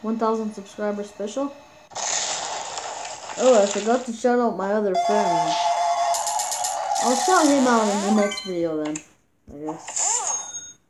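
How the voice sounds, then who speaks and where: A woman's voice: medium pitch, animated, measured pace, long pauses, loud music behind the voice, rough recording. A woman talking casually over mobile gameplay as a YouTube gamer, stumbling and repeating words with many fillers, recorded on a phone. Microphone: phone screen recorder mic.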